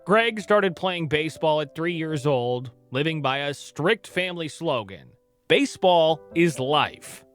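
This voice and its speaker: Male narrator, fairly high voice, dramatic storytelling, scripted documentary read, faint music underneath. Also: lively intonation, unhurried, a bit bright.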